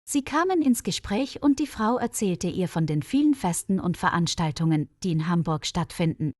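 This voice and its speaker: A woman's voice: on the low side, sing-song, moderate pace, a little mellow, no room sound. Woman narrator, dry close studio sound. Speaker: narrator of German-learning stories. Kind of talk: scripted narration of a learner's audio story.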